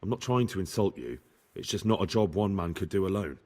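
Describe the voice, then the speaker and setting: Game cutscene: an earnest young squire, low husky voice, lively pitch. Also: male voice, fairly quick. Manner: earnest, dutiful. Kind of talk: video game cutscene acting.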